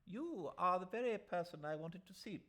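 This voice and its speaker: A man voicing a fussy, self-assured old English gentleman solicitor in an audiobook, speaking formally and emphatically with pompous repetitions. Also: sits high, lively intonation, normal speed, pitched above the performer's own voice. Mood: brisk, self-assured. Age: older. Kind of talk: audiobook character voice.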